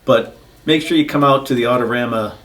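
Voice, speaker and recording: A man in his sixties hosts a relaxed chat with friends. He talks in a loose, rambling way and keeps circling back with "yeah." His pitch sits in an ordinary middle range. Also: a little fast. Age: 60s. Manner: easygoing, rambling, repeats "yeah". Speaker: podcast host. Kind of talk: casual chat.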